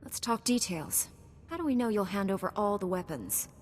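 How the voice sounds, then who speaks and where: Casting: actress as confident game heroine knight, composed and dry, mid-range, faint noise in a large hall. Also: female voice, mid-range voice, normal speed, natural voice. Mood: dry, diplomatic. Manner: calm, dry, assured. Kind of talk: video game voice acting.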